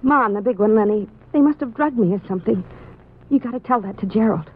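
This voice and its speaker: An actress playing a frightened young captive wife in a 1950s radio drama, anxious, earnest and pleading. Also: female voice, mid-range voice, fast talker, natural voice. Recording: muffled, slightly noisy 1950s radio recording.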